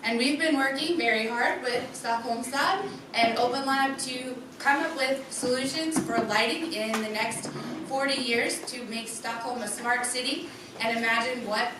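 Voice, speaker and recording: A young woman giving a rehearsed student project presentation straight to a live audience. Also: on the high side. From USA. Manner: clear rehearsed delivery, speaks straight to the audience. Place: darkened presentation room with an audience. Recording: echoey room from far off, background noise.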